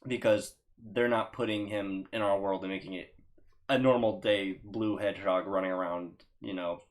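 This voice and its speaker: A man co-hosting a casual podcast chat, speaking haltingly and repeating his words as he thinks. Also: sits low, steady tone. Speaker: podcast co-host.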